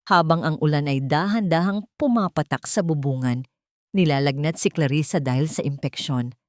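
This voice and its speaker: Low-voiced woman narrating melodrama. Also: a little mellow, no echo, clean studio sound. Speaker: story narrator. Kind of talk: scripted dramatic narration of a romance melodrama.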